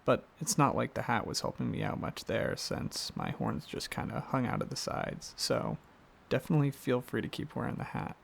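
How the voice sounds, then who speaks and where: A man playing an affectionate, excitable dragon boyfriend in an ASMR roleplay, talking casually and a little haltingly, with a gravelly voice. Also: medium pitch, heavily acted. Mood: playful, affectionate. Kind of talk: ASMR roleplay, softly addressing the listener as partner.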